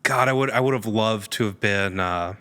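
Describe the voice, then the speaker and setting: A man's voice: on the low side, moderate pace, fairly bright tone, clean tone. A man chatting casually as a podcast guest, answering questions with joking asides.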